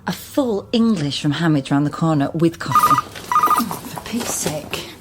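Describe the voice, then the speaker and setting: An actress playing a wry, weary but brisk woman researcher in a naturalistic radio drama, dryly muttering to herself. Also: sits low, expressive, heavy background noise. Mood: weary, grumbling.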